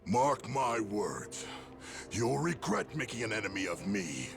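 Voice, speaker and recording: Deep, gravelly, vengeful villain. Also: male voice, sing-song, measured pace, noisy background, exaggerated voice. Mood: threatening. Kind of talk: dramatic video game cutscene acting. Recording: game music behind the voice.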